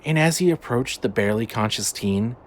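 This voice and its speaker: A man's voice: medium pitch, expressive, fairly quick. A man reading a scripted audio drama as its narrator. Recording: music under the voice. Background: clearly audible music.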